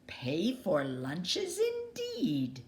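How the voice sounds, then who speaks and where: Woman voicing grumbling, blustery pirate man, slow and emphatic. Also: lowish voice, huge pitch swings, a little mellow, exaggerated voice. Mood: indignant. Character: gruff, grumbling band of hungry male pirates bargaining. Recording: clearly noisy background.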